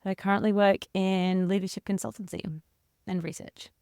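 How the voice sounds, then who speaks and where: Interview guest, woman, 40s, Australian accent, smooth resonant tone, conversational, dry close sound. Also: mid-range voice, flat delivery, moderate pace, a little mellow, no echo. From rural South Australia. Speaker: interview guest, leadership consultant and researcher.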